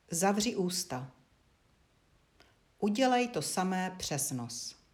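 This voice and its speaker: A woman instructor guiding a body-awareness lesson, giving short spoken directions with frequent pauses. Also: on the low side, a little mellow.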